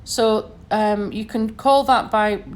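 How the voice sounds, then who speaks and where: A woman's voice: mid-range voice, fairly even intonation, slow. Earnest Sheffield woman advising listeners. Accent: Sheffield, Northern English. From Sheffield, England. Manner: earnest and advising, often adds "okay?" and "you know". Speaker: podcast host. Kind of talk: solo podcast talk.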